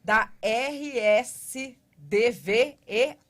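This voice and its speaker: A woman with a Brazilian Portuguese accent hosting a TV interview, introducing guests and asking follow-up questions in a brisk, conversational way. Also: a little high, a bit bright. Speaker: show host.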